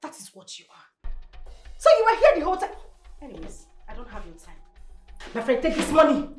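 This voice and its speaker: A woman's voice: sits high, wildly swooping pitch, a little fast, soft background music. An actress playing a bossy, scornful woman of the house with a Nigerian accent, imperious and scolding, in a roomy echo. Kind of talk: Nigerian comedy-drama film acting.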